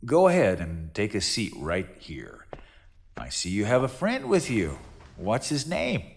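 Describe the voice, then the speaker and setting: A slow, lilting, gentle doctor. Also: male voice, fairly low pitch, wildly swooping pitch, gravelly, heavily acted. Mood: friendly, reassuring. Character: friendly, gentle male doctor coaxing a child. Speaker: doctor character. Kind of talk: audio drama acting.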